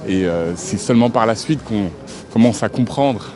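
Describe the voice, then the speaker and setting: Low-voiced man, halting, music behind. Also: animated. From France. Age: 30s. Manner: halting, with many fillers and restarts. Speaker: march participant interviewed. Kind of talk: street interview. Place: outdoors at a city street march.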